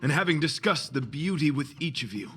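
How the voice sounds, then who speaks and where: A man's voice: on the high side, lively intonation, a little fast, fairly bright tone, faint music behind. A man voicing an earnest, flowery knight in a video game, formal and pompous. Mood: solemn. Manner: pompous, formal, archaic wording. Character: courtly, earnest, flowery knight, theatrically noble.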